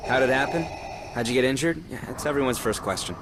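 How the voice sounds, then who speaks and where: A man's voice: medium pitch, quick pace, background noise, close to an everyday voice. A man voice-acting a young, wry cyborg apprentice in a video game. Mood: dry, wry.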